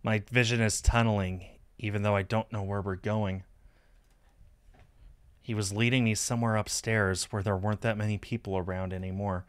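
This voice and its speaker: Smooth-voiced man narrating a story. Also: lowish voice, fairly even intonation, normal speed. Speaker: streamer reading a visual novel aloud. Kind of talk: expressive first-person story narration.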